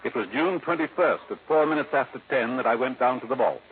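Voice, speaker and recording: An actor playing a prim, old-fashioned, pedantic head of a family firm in a 1950s radio drama, speaking formally and with fussy precision. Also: male voice, medium pitch, quick pace, lightly acted. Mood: fussily regretful. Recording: muffled old radio broadcast, faint noise.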